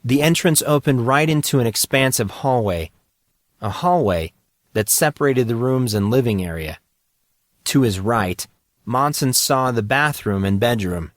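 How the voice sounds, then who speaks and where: A man's voice: mid-range voice, lively intonation, a little slow, no echo. Man narrating fiction in a dry recording. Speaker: audiobook narrator.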